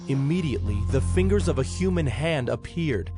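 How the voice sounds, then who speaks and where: A man narrating dramatized scripture in a formal, measured storytelling style. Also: medium pitch, animated. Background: music.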